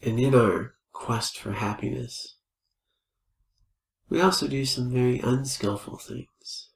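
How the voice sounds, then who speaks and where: A man leading a guided meditation as a teacher, speaking in gentle, unhurried invitations with pauses. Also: medium pitch, fairly even intonation, creaky. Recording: roomy, echoing space.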